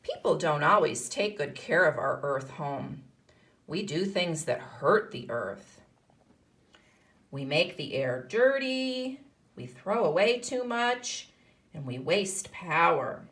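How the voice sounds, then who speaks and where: A woman's voice: deep voice, very animated, slow, a bit bright. A woman teaching kindergarten, reading a picture book aloud to young children and explaining as she goes. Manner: instructional, explaining and posing questions to children.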